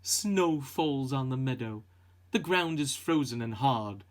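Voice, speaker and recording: Slow, expressive man reading a storybook. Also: medium pitch, heavy background noise. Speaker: picture book reader. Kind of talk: dramatic storybook narration for children.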